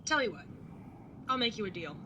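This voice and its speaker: A woman's voice: medium pitch, very animated, brisk, noisy background, close to an everyday voice. A young woman playing a wry, exasperated older sibling who narrates a road trip in an audio drama, with a dry, sarcastic way of talking. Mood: gently conciliatory. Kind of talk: audio drama acting and first-person narration.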